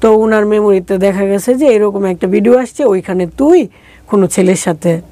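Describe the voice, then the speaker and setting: Mellow Bangladeshi woman recounting her life. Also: mid-range voice, normal speed, dry recording. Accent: regional Bangladeshi. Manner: colloquial, acting out remembered dialogue. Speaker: interview guest. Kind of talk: conversational personal life-story telling.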